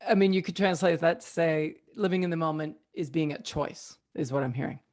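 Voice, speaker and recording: Slightly low-voiced woman hosting. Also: brisk, darkish tone. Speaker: podcast host. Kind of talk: conversational interviewing.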